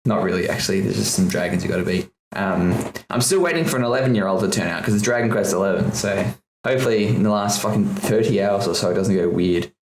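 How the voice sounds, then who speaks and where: A man's voice: low voice, fast talker, vocal fry, hardly any pauses, a little hiss or hum. A man co-hosting a casual podcast, ranting and swearing often with bursts of laughter. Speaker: podcast co-host. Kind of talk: casual chat. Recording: echoey room.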